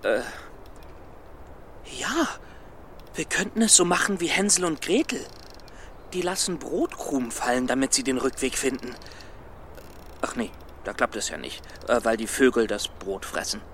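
A man playing a fussy, optimistic scholar and storyteller in a children's radio play, expressive and prone to stammer. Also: medium pitch, moderate pace, pauses often, a little hiss or hum, close to an everyday voice. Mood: flustered.

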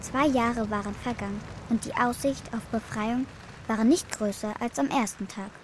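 An actress playing an earnest, brave young granddaughter in the steppe, in a German children's radio drama. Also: female voice, a little high, moderate pace, slight background noise, close to an everyday voice. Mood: reading, reflective.

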